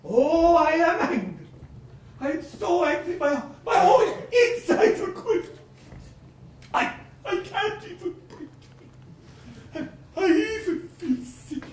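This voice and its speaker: A woman's voice: sits high, very animated, measured pace, fairly bright tone, heavily acted. A woman playing a blustering, angry male landowner who rants in exclamatory, self-pitying outbursts, acting out a stage play. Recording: roomy echo, from a distance.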